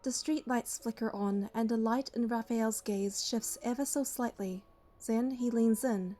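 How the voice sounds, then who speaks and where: An actress playing a devoted, protective young bodyguard heroine in a romance game, telling her story in an earnest, measured way. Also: on the high side, warm tone, close to an everyday voice. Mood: tender, narrating. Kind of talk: romance game narration and dialogue.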